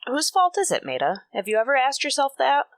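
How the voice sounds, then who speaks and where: A woman's voice: high voice, huge pitch swings, a little fast, no echo, clean studio sound, heavily acted. A woman playing a blunt, resentful young sister in a radio soap drama.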